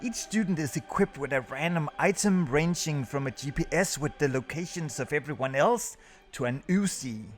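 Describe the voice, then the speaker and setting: A man's voice: fairly high pitch, lively intonation, moderate pace. A man reading a scripted countdown review as the host of a video. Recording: music under the voice.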